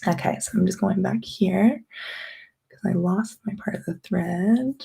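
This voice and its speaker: A young woman from Canada with a raspy voice, chatting casually on a live stream as she answers viewer questions, with many ums and restarts. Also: medium pitch, measured pace, warm tone. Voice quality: raspy, gravelly voice. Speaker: vlogger hosting a live Q&A.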